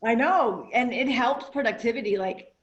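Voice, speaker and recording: A woman hosts a business webinar and chats with her guest before it begins. Her voice sits fairly high, and she rambles, restarting sentences and leaning on fillers. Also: normal speed, muffled recording. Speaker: webinar host. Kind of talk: casual chat before a webinar.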